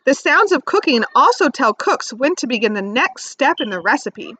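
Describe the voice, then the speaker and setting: Very lively, high-pitched woman reading to children. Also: slightly rough, no room sound. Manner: expressive, instructive, emphasizes key words, pauses to ask simple questions. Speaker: teacher reading aloud to young children. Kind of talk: read-aloud lesson with questions to young listeners.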